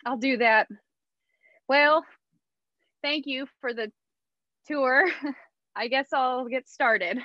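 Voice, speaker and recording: An actress performs a bewildered, eager new female employee in a stage play. Her voice is fairly high and she speaks slowly, polite and a little hesitant. The video call recording is slightly dull and dry. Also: pushed and bright, no room sound, heavily acted.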